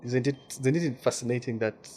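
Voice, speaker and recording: A man's voice: mid-range voice, a little fast, darkish tone, slight background noise. A man from Western Uganda with a Ugandan accent, speaking as a guest journalist in a casual interview and often repeating words while he searches for them.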